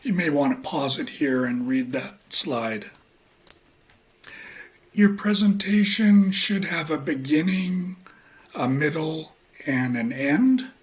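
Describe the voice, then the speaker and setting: Slow, husky-voiced male English teacher. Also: fairly high pitch. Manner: slow, halting, with many ums and uhs. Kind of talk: relaxed spoken lecture.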